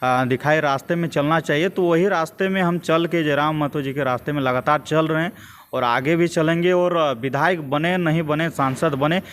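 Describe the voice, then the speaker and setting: A young man with a Jharkhand Indian accent, answering interview questions as a grassroots political activist in a measured, diplomatic, hesitant way. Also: on the high side, a bit bright, slight background noise. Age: young adult.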